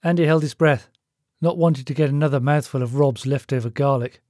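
A man's voice: mid-range voice, fairly quick, warm tone, no room sound, studio quality. A man reading scripted fiction as an audiobook narrator.

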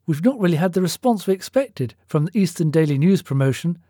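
A man's voice: fairly high pitch, lively intonation, fairly quick, dark tone, breathy edge, no echo, studio quality. A man voicing a brash, bossy male office boss in an audiobook story, blunt and matey as he gives orders. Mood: brisk, instructing.

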